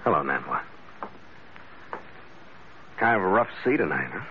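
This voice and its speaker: Hardboiled skipper-sleuth, very low-voiced and terse, muffled vintage radio sound with clear noise. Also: male voice, very animated, a little slow. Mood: steady, probing. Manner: terse, hardboiled, matter-of-fact. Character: tough, calm American sea skipper turned amateur detective. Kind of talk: 1940s-50s radio drama acting, first-person narration and dialogue. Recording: muffled, noisy old radio recording.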